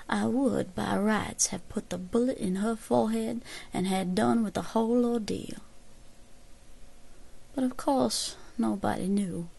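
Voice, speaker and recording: An actress playing a grave 1870s American woman survivor, giving a measured, formal account in an audio drama. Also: medium pitch, creaky, dark tone, husky. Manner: measured, formal old-fashioned storytelling.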